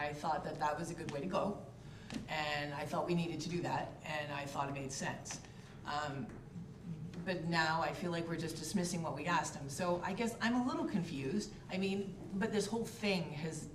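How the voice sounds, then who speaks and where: A woman councillor with a hoarse voice from a cold, speaking haltingly and repeating herself in a council meeting. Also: on the low side. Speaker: town councillor. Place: echoey council chamber. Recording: echoey room, distant mic, background noise.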